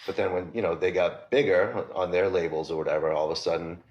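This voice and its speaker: Deep-voiced man with a Boston accent. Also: fairly even intonation, fast talker, darkish tone, dull audio. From Massachusetts, USA. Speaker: record store owner, guest. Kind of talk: casual interview chat.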